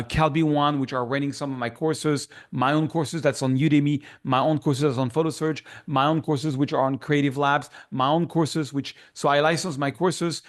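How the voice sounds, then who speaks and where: A middle-aged man with a French accent, a guest in a casual interview, talking in an enthusiastic, rambling way full of fillers and restarts. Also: fairly high pitch. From France. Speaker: guest photographer.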